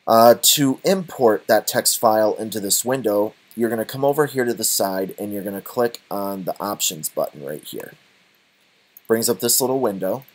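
A man with a fairly low voice guides listeners through a software walkthrough. His delivery is unscripted and halting, often broken up by uhs as he explains each step. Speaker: tutorial presenter. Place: voice-over for a screen recording.

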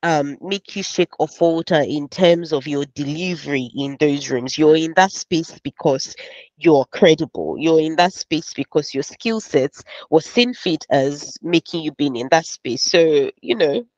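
A woman's voice: low voice, a little mellow, no room sound. Brief: young Nigerian woman, Nigerian accent, candid panelist, dry muffled headset audio. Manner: candid, reflective, brisk asides. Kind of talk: webinar panel answer. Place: home office, virtual background. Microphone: headset mic on video call. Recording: dull dry video-call audio.

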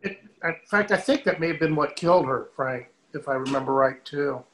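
Man, slightly high-pitched voice, casual club-member chat over a video call. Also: brisk, a bit bright, a little hiss or hum. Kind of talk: casual chat about old entertainment.

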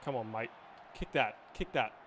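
A young man with a hoarse voice, reacting excitedly and yelling while playing a football video game. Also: mid-range voice, normal speed, very noisy. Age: young adult. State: hoarse, says he lost his voice. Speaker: gaming YouTuber. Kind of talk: reactive gameplay commentary. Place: indoor gaming room, seated in gaming chair. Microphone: headset, facecam.

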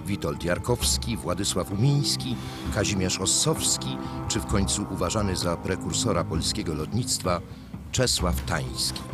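Deep-voiced male documentary narrator. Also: animated, normal speed. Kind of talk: scripted narration. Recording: voice-over over loud music.

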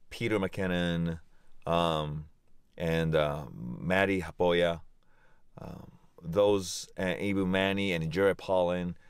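Slow, low-voiced man vlogging on camera. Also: clear, resonant tone, no echo, studio quality. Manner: halting, with many fillers and restarts. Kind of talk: casual vlog chat. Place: outdoors and in a car. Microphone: handheld camera at arm's length.

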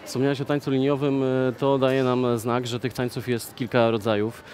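A young man hosting a magazine interview, speaking in a lively presenter manner with filler pauses, recorded in a shopping mall on a headset mic. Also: medium pitch, slight background noise. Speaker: host.

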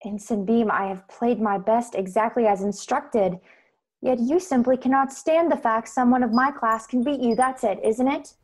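Woman acting a higher-voiced, composed starship attendant. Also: natural voice. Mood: firm, indignant. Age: young adult. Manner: measured, formal. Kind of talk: radio play acting and narration. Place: bedroom, over a video call.

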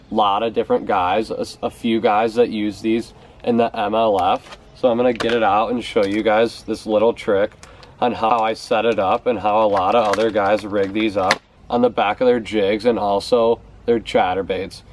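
A man's voice: fairly low pitch, little pitch movement, normal speed, a little hiss or hum. A young man talking casually to camera on a porch outdoors, showing his favorite fishing baits and often repeating words for emphasis. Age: young adult. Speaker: fishing vlogger. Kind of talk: casual product talk to camera. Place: outdoors on a house's back porch steps.

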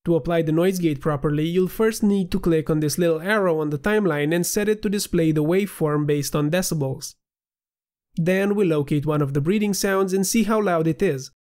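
Man narrating a software tutorial. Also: on the high side, a little fast. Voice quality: slightly nasal. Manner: clear, steady instructional delivery. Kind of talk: scripted screen-recording tutorial voiceover.

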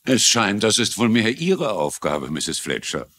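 Older retired police lieutenant, lively intonation. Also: male voice, on the low side, unhurried, slight background noise, heavily acted. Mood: frank, wry. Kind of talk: German-dubbed TV crime drama acting.

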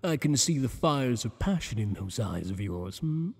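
A man's voice: medium pitch, very animated, vocal fry, slightly rough, in a large hall, exaggerated voice. A man voicing a teasing, possessive monster boss. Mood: flirtatious. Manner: teasing, flirtatious, slow and intimate. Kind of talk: ASMR roleplay.

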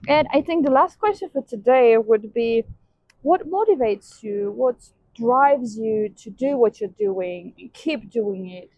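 A woman's voice: on the high side, sing-song, a little slow, gravelly, a little mellow, no echo. A young woman hosting an outdoor interview in a stone courtyard, asking questions in a friendly, slightly hesitant way. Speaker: interview host. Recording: dull lo-fi sound.

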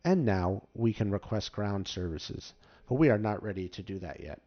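Low-voiced man hosting a casual tutorial. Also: expressive, moderate pace. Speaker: tutorial host. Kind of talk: casual game tutorial commentary. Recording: slightly dull, muffled microphone.

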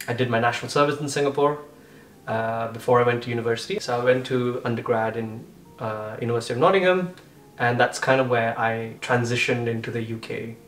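A man's voice: mid-range voice, fairly quick, fairly bright tone, roomy sound, far from the mic. A man in his twenties from India, speaking casually in an interview with fillers and self-corrections. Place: room with bookshelf.

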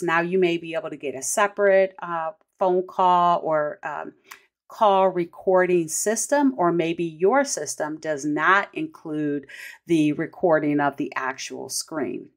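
Middle-aged American woman, lowish voice, explaining. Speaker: podcast host. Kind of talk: conversational solo podcast explainer.

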